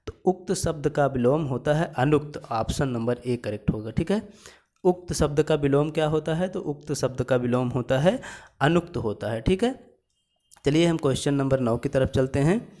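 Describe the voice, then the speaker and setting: A man's voice: on the high side, expressive, moderate pace, a little mellow. A male teacher going through exam questions step by step, asking questions and answering them himself, and repeating each answer. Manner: explains step by step, repeats answers, asks and answers his own questions. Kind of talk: exam-prep lesson.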